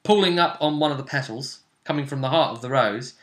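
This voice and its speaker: A man running a tabletop roleplaying game as game master, recapping the story casually in a halting, emphatic way, repeating phrases as he goes. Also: medium pitch, fairly bright tone.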